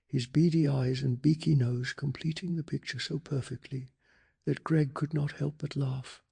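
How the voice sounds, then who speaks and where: Male ASMR narrator for bedtime stories: heavy vocal fry, very breathy, soft and unhurried. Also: a little high. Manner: calm, hushed, measured storytelling. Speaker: narrator of bedtime story recap. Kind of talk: soft-spoken ASMR scripted story narration.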